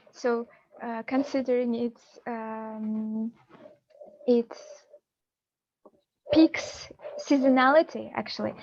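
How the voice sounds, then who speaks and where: A young Kazakh woman speaking English with a Kazakh accent, pausing often to find words as she answers questions on a video call. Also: fairly high pitch, a little mellow, dull audio. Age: young adult. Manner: halting, searching for English words. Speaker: PhD student presenter.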